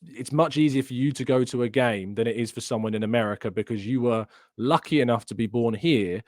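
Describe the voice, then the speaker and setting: A man's voice: medium pitch, brisk, darkish tone, no echo, studio quality. A male host arguing his point insistently in a heated debate. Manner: argumentative, insistent. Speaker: show host.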